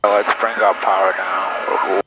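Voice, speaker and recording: A man's voice: deep voice, flat delivery, unhurried. A man flying a small plane, talking in clipped aviation radio phrases and cockpit self-talk through a headset. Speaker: student pilot flying solo. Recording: muffled headset audio with loud engine noise.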